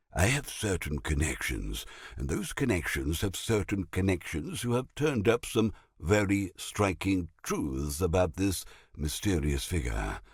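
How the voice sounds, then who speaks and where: A pompous middle-aged naval captain who fences goods on the side, a schemer from a video game. His pitch swings wildly from low murmurs to high flourishes, and he takes his time, savoring each word with oily theatrical relish. The sound is close and dry. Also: slightly rough, no echo, full character voice. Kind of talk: video game character dialogue.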